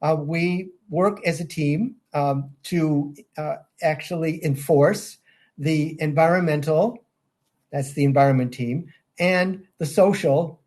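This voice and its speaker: An older man answering interview questions in a measured way, with frequent ums and restarts. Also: a little high, a little mellow. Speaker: guest, safeguards auditor.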